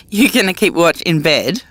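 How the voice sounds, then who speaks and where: A woman playing a conscientious, gently teasing survivor in a dystopian audio drama, speaking casually and a little hesitantly. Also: lowish voice, sing-song, unhurried, no echo. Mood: amused. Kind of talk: naturalistic audio drama dialogue.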